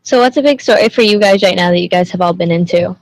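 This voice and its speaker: A woman's voice: fairly low pitch, quick pace, no echo. Chatty young woman hosting a livestream. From Indonesia. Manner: chatty, greets viewers by username, asks many questions. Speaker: livestream host. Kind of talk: casual livestream chat with viewers.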